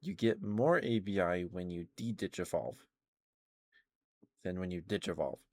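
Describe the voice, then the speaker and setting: Deep-voiced man in casual ASMR chat. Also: expressive, measured pace, darkish tone. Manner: rambling, hesitant, trailing off and self-correcting. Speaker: live streamer hosting an ASMR stream. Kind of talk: casual ASMR chat about video games.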